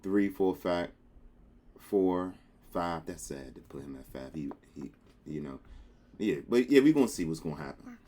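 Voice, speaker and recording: A man's voice: low voice, expressive, moderate pace, long pauses, warm tone, a little hiss or hum. A man hosting a reaction video at home, chatting casually with playful slang.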